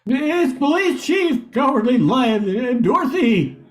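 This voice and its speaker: A man's voice: very high-pitched, very animated, slow pace, exaggerated voice. A man playing a cowardly lion police chief in an amateur radio play, fretful and stammering. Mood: exasperated.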